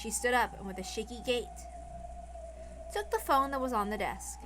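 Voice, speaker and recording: A woman's voice: fairly high pitch, lively intonation, normal speed, background noise. A woman reading a story aloud as narrator, in dramatic narration. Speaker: reader, narrator. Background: music.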